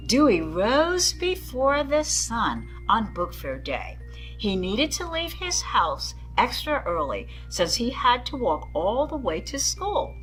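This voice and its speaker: A woman reads a picture book to young children. Her voice is bright and swings widely in pitch. She takes her time, leaning on words for dramatic emphasis. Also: slow pace, soft background music, low-quality recording. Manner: animated, dramatic emphasis, addresses young listeners. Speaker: children's story-time reader. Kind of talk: expressive picture-book read-aloud.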